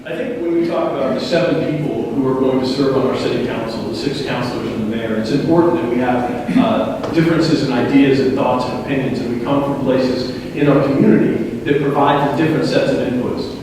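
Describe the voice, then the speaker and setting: A man speaking as a city council candidate in a debate, measured and businesslike, with a few hesitations. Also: mid-range voice, noisy background. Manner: measured, businesslike, some "uh" fillers. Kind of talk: debate answer. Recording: echoey hall, distant mic.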